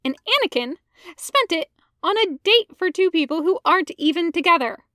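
A woman narrates fiction with expression. Her voice sits high, and the recording is dry, with hardly any room sound around it. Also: animated, normal speed, pushed and bright, no room sound. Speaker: podfic narrator.

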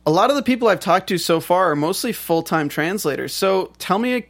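A man hosting a podcast interview, talking casually with many fillers and restarts. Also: on the high side. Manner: chatty, with frequent fillers and restarts. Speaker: podcast host.

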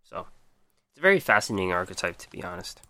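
This is a man hosting a podcast, his voice a touch low but bright and forward, and worn hoarse from talking. He reads card stats plainly, then muses on them with frequent ums. The sound is dry and close, with no room around it. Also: very animated, quick pace, no room sound. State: losing his voice. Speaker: podcast host. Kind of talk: casual card game review.

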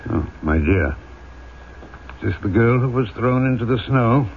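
An actor playing a compassionate, authoritative town mayor in an old-time radio drama, speaking in a dignified, earnest way. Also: male voice, mid-range voice, expressive, a little slow, slight background noise. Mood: concerned. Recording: tinny old radio broadcast, faint music.

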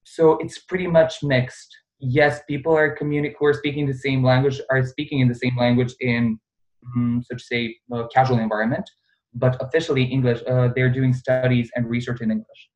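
A man's voice: mid-range voice, little pitch movement, normal speed, a little mellow, low-quality recording. A man in his twenties from Bosnia, speaking English with a Bosnian accent as an interview guest, earnest and full of phrases like "truth be told". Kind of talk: casual interview.

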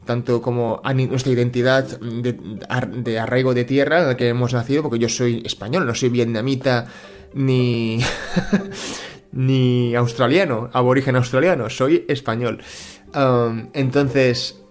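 A man's voice: mid-range voice, fairly even intonation, measured pace, soft background music. A middle-aged Spanish man from Catalonia speaks in a thoughtful, hesitant monologue with many fillers and restarts, as host of his personal finance channel. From Spain (Catalonia). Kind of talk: reflective casual monologue.

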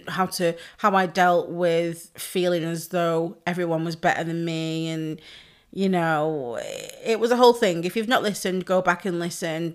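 Solo podcast host, woman, hoarse, slightly low voice, sweary and chatty. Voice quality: chatty, sweary, laughs often. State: hoarse, losing her voice. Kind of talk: casual solo podcast chat.

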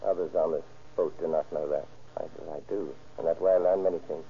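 A tinny, faintly hissy old radio drama recording. A very low-voiced male smuggler speaks calmly and formally, in clipped, precise, secretive phrases. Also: fairly quick. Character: calm, secretive male drug smuggler aboard ship. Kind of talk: 1930s radio drama acting.

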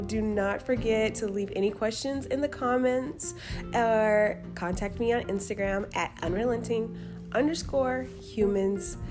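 Woman podcast host, unhurried and bubbly, guitar music underneath. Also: mid-range voice. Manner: bubbly, laughs often. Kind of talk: casual podcast hosting.

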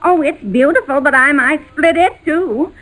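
Plump anxious maid, high voice, fretful, deferential, naive, excitable, 1940s radio comedy. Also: female voice, moderate pace, low-quality recording. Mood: earnest, flustered. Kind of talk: 1940s radio sitcom acting.

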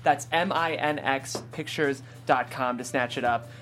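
A man's voice: medium pitch, unhurried, a bit bright, soft background music. A man hosting a casual panel talk show, speaking with an enthusiastic manner. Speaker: host of a table-read show.

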